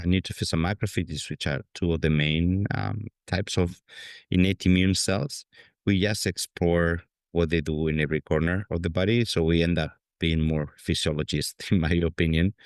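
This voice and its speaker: A man's voice: deep voice, smooth, no room sound, studio quality. A man in his fifties from Spain with a Spanish accent, answering interview questions in a hesitant way with many fillers and restarts. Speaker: guest scientist. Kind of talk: conversational interview.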